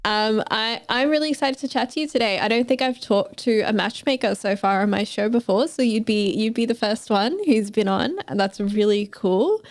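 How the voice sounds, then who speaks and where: A woman's voice: a little high, normal speed, hardly any pauses, dry recording, clean studio sound. A woman hosting a podcast, reading a scripted intro and then chatting with enthusiasm and some hesitant fillers. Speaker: podcast host.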